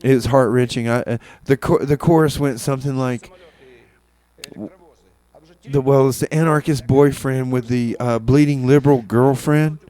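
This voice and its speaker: A man works the mic as a comedic community radio host. His delivery is rambling and halting, looping back over his own phrases. He keeps a mock-earnest, playfully exasperated tone as he moves between songs. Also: mid-range voice, fairly even intonation, mellow. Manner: rambling, halting, repeats himself.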